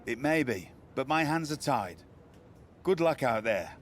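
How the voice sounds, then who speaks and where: An actor playing a resigned, matter-of-fact wrestling boss in video game dialogue. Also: male voice, mid-range voice, animated, unhurried, a little hiss or hum, close to an everyday voice. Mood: resigned, apologetic. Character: resigned male wrestling boss breaking bad news.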